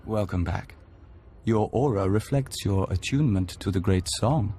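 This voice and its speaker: A man plays a serene video game envoy bonded to a hive. The voice is fairly low, measured and formal with a mystical air, over faint noise. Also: warm tone, natural voice. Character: serene male hive-joined envoy speaking as "we".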